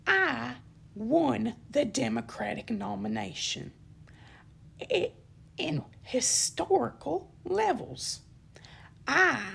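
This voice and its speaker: A young woman with a dry throat gives an indignant, emphatic campaign statement, often pausing with ums and correcting herself. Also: sits high, creaky, slight background noise. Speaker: channel host giving a campaign statement. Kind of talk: unscripted spoken statement to camera.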